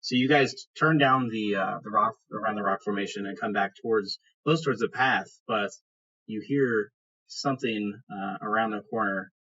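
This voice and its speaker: A man runs a tabletop fantasy game, narrating casually. His voice is husky with a forceful, sharp edge. The recording is slightly dull. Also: on the low side, normal speed. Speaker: game master.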